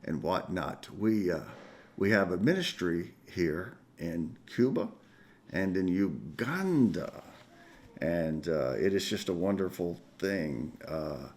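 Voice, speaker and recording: A male pastor making folksy, conversational announcements to his congregation, with frequent pauses and fillers. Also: low-pitched, animated, a little mellow. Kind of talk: church announcements. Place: church sanctuary.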